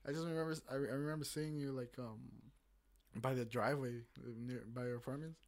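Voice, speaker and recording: A man's voice: medium pitch, steady tone, brisk, darkish tone. A young man hosting a podcast, chatting casually, rambling and swearing with lots of fillers. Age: young adult. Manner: rambling, swears a lot, many fillers and restarts. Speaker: podcast host. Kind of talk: casual chat.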